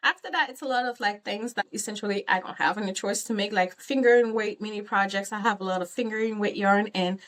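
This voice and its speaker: A woman's voice: mid-range voice, fast talker, few pauses, a bit bright. A woman who grew up in Haiti, talking through her crafting plans in a chatty, rambling vlog with frequent ums and some teasing of herself. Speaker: crochet vlogger. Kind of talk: casual vlog chat.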